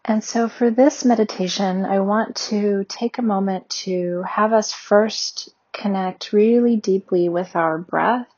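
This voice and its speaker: A woman leading a guided meditation with slow, soothing, gentle instructions. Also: mid-range voice, muffled recording.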